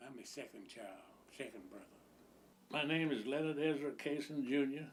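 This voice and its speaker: A man's voice: mid-range voice, normal speed, distant mic, heavy background noise. A man in his eighties from Virginia, stating family facts plainly in an oral history interview. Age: 80s.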